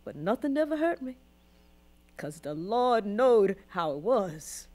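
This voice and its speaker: Slow-speaking, weary old Southern grandmother. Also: medium pitch, sing-song, dark tone. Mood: solemn, wondering. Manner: solemn, emotive, deliberate. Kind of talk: radio drama monologue, dialect storytelling.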